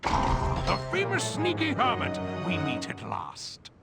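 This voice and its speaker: A man's voice: sits high, wildly swooping pitch, slow, creaky, over loud music, exaggerated voice. A man voicing a pompous, melodramatic undersea supervillain in a cartoon game, theatrical and grandiose. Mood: grandiose pitching. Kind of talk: video game cartoon voice acting.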